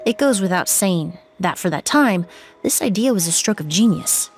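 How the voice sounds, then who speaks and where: An actress playing a composed young perfumer and investigator who explains things in a calm, measured way. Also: female voice, on the low side, slight background noise. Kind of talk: game character dialogue.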